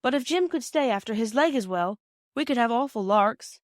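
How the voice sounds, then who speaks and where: Woman voicing an eager English schoolboy, pitched high. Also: lively intonation, normal speed, no echo, clean studio sound, lightly acted. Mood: eager, persuasive. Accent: British. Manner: old-fashioned boyish slang, pleading. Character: eager, earnest English schoolboy, her son.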